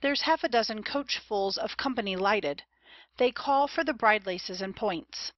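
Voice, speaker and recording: A woman's voice: mid-range voice, a little slow, dry recording, natural voice. A woman playing a dutiful household servant in an audio play of a period comedy. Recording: dull, lo-fi, dry audio.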